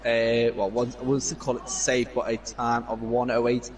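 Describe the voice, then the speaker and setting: A man's voice: medium pitch, monotone, moderate pace, a crowd in the background. A man with a Scouse accent sits in on commentary for an online racing league. He talks the listener through lap times, corners and qualifying rules in an analytical, explanatory way.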